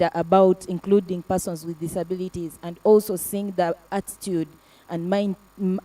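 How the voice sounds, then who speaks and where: A woman advocate giving earnest testimony about a program, speaking haltingly with fillers and restarts. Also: lowish voice, mellow, distant mic, low-quality recording. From Africa. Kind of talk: panel testimony.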